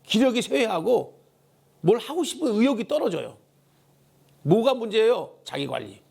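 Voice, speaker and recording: A man preaching a church sermon in a hoarse, worn voice, emphatically exhorting and repeating key phrases. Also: high-pitched, sing-song, normal speed, a little mellow. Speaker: pastor preaching a Sunday sermon. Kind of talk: Korean church sermon with call-and-response prompts. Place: church service.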